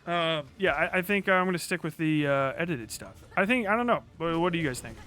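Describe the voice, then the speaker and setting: A man's voice: a little high, expressive, a little fast, sharp tone. A man chatting casually on a livestream as he plays a video game, rambling in slangy, profane language. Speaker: live streamer.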